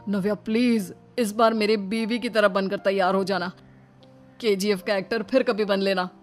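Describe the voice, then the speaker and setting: A man's voice: sits high, fairly even intonation, normal speed, close to an everyday voice. A man voicing a resigned, exasperated young husband in a cartoon story, pleading and complaining. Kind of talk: Hindi cartoon story dubbing. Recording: background music behind the voice.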